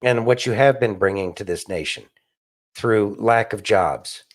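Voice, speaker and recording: A man's voice: fairly low pitch, a little slow. Emphatic man talking politics. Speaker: podcast co-host. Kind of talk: opinionated political talk.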